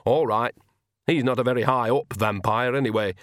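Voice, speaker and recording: A man's voice: mid-range voice, fairly even intonation, normal speed, fairly bright tone, no echo, clean studio sound, natural voice. A man voicing a brash, cheerful old witch woman who gives orders bluntly in a storybook reading. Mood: breezy. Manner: breezy, blunt and commanding.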